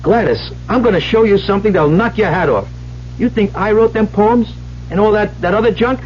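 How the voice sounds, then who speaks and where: A man's voice: sits high, fairly quick, a little hiss or hum, lightly acted. A man acting in a 1970s radio drama as an exasperated, uneducated typist, griping and confiding in plain slang. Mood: eager. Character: uneducated, exasperated working-stiff male typist, plain-spoken. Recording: muffled old radio broadcast with music.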